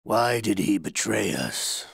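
Casting: menacing military henchman, game villain, very deep, heavily creaking, businesslike. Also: sing-song, slow pace, dry recording, clean studio sound, full character voice. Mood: cold, businesslike. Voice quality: gravelly. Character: cold, menacing male military villain reporting to commander.

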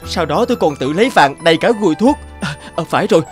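An actor playing a greedy, excitable young peasant man in a fairy-tale cartoon, dramatic and given to exclaiming and pleading. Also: fairly high pitch, fast, soft background music, lightly acted. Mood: desperate, pleading. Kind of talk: animated fairy-tale character acting.

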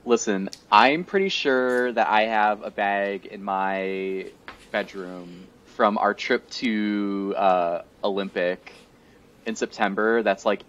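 A man's voice: fairly low pitch, fairly bright tone, a little hiss or hum. A man in his thirties co-hosting a casual podcast chat over a video call, a little congested, chatty and often laughing. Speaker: podcast co-host. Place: home room, indoors. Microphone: headset on a video call. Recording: muffled lo-fi video call audio.

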